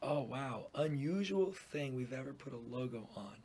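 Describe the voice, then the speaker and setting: Laid back guy in his 30s from puerto rico, talks business, laughs a lot, average pitch. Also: animated, normal speed, darkish tone, a little hiss or hum. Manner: easygoing, laughs often, frequent fillers. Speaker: guest business owner. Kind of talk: casual interview chat.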